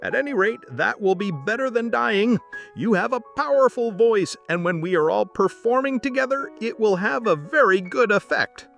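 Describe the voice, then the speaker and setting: A man voicing a brisk, bossy, encouraging donkey in a storybook tale. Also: sits high, lively intonation, vocal fry, fairly bright tone, faint music behind, voice pushed up, heavily acted. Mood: eager, persuasive. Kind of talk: storybook character voice.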